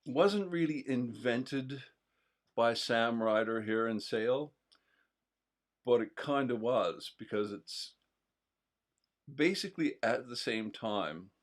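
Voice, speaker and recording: A man's voice: mid-range voice, lively intonation, takes it slowly, pauses often. A man chatting casually as co-host of a live stream, rambling with many fillers and restarts. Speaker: co-host of a local history stream. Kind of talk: casual chat.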